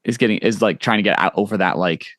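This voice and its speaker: A young man hosting a podcast interview, talking in a relaxed, casual way, full of "like" and "you know", quick to agree and encourage. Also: lowish voice, no echo, clean studio sound. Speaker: podcast host.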